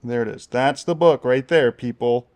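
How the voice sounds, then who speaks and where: A man with a medium-pitched voice chats casually as co-host of a book channel. His delivery is relaxed banter, wry and teasing, like talk between people who know each other well. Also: normal speed. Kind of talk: casual playful banter, book guessing game.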